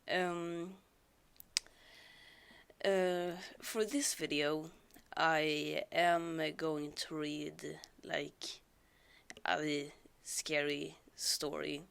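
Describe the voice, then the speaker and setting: A woman with a sore throat talks slowly and hesitantly, stumbling over her words and filling pauses. Her voice is low and gravelly with a lot of fry. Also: fairly bright tone. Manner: hesitant, many fillers and restarts. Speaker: storyteller. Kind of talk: casual chat before a story reading.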